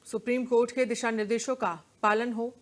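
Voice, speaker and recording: Higher-pitched woman news anchor. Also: fairly even intonation, a little fast. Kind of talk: live news presenting and questioning.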